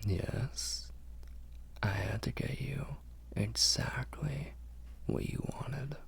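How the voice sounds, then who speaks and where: A man playing a protective, tender vampire lord in an intimate ASMR roleplay, whispering in a breathy, husky, reassuring way. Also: mid-range voice, exaggerated voice. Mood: reassuring, coaxing. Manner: slow, hushed, reassuring. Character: protective, tender male vampire lord soothing his human.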